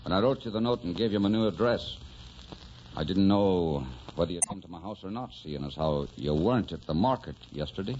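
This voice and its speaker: An actor playing a tender, wistful Irish rebel who dreams of farm life, speaking with an Irish lilt in old-time radio drama style. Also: male voice, deep voice, animated, fairly quick, a little mellow, slight background noise. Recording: dull vintage radio recording with music.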